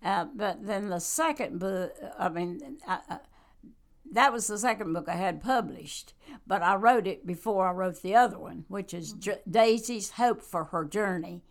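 A woman, talking slowly in a casual interview as a guest and author, with many pauses and fillers. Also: mid-range voice. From North Carolina. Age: 90s. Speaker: guest, church member and author.

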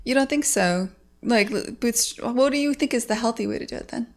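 A woman hosting a casual podcast chat, frank and animated, swearing casually as she talks about game development. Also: a little high, a little fast.

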